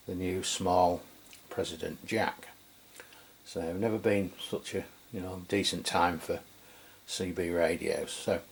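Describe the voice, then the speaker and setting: Low-voiced English man reviewing gear. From England. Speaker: radio reviewer, channel host. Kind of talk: unscripted product review walkthrough.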